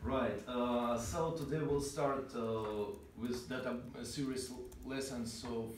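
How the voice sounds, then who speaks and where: A man's voice: medium pitch, takes it slowly. A man in his thirties with a Russian accent, teaching an informal programming class in a small meeting room and asking the students questions. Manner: relaxed, asks the class questions. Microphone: far camera. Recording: roomy, distant, noisy room sound.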